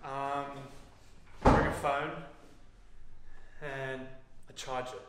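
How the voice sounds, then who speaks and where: A young man from New Zealand, a rower, answering questions softly and laughing. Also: mid-range voice, level tone, drawn-out words. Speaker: rower, interviewee. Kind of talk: casual banter. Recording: echoey room with crowd noise.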